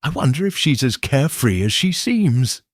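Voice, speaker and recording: A man voicing a shrewd elderly village spinster, speaking in a gentle, probing, knowing way. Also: mid-range voice, sing-song, normal speed, no echo, clean studio sound, voice pushed up. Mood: shrewd musing.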